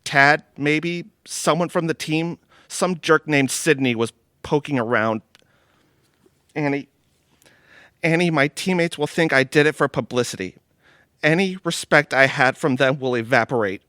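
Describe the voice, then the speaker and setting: An actor in amateur audio theatre playing a worried, apologetic football player, speaking in an earnest, troubled way. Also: male voice, a little high, unhurried, pushed and bright, no room sound, studio quality. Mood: anxious, apologetic.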